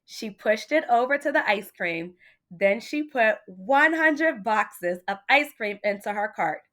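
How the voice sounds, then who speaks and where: A woman's voice: fairly high pitch, expressive, measured pace, fairly bright tone. Woman reading aloud to children. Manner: addresses child listeners directly, with questions. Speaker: teacher reading a picture book aloud. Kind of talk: animated children's storytelling.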